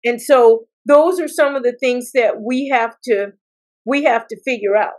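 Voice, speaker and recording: An American woman from South Carolina answering interview questions as a political candidate, speaking in deliberate phrases with pauses. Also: a little high, a little mellow. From South Carolina, United States. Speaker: political candidate, attorney and former judge.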